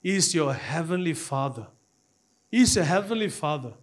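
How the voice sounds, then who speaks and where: Male preacher, Malaysian accent, high-pitched voice, impassioned and exhorting sermon delivery with frequent repetition. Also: expressive, normal speed. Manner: repetitive, exhorting, often addressing the congregation.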